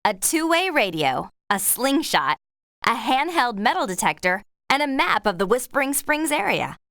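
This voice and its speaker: A woman's voice: fairly high pitch, big pitch swings, moderate pace, bright, forceful tone, no echo, clean studio sound, heavily acted. A woman with an American accent playing an earnest, grateful young horse rider and loyal friend in a game, speaking expressively. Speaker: voice actor. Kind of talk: scripted game character dialogue.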